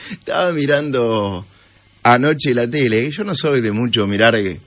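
A man's voice: mid-range voice, expressive, unhurried, a bit bright. A sleepy man with an Argentine accent hosting a morning radio show, chatting casually and unhurriedly with listeners.

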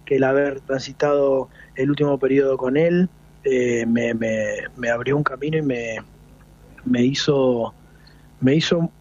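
Husky-voiced Argentine singer in his forties. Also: medium pitch, darkish tone, dull audio. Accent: Argentine Spanish. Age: 40s. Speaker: folk singer, guest. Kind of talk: radio interview.

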